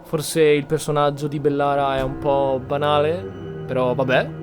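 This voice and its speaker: Male gaming YouTuber from Italy: casual commentary, slightly high voice, faint music behind. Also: little pitch movement, normal speed.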